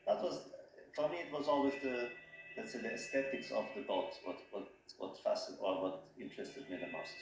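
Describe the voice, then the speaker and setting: A man from Austria speaks as an interview guest at a middle pitch, with plenty of room echo around his voice. He talks haltingly and keeps restarting his phrases as he feels his way toward each point. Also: animated, gravelly, soft background music. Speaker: interview guest, company head. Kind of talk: casual interview talk. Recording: roomy echo.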